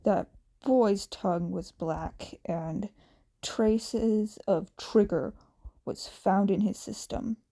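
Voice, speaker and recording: A woman voicing a stern, grumbling adult male hero in a story, speaking in a gruff and terse way. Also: medium pitch, slow, mellow. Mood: grim, matter-of-fact.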